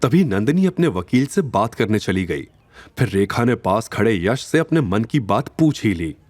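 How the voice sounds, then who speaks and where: A man's voice: on the low side, very animated, moderate pace, darkish tone. A man narrating a scripted audio drama in a dramatic storytelling style.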